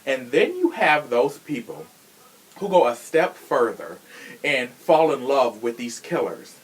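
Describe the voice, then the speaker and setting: A man hosts a chatty, informal podcast with plenty of sass. His pitch moves around freely and animatedly, and his delivery is theatrical and conversational. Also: fairly bright tone, low-quality recording. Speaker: podcast host. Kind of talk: casual chatty podcast talk.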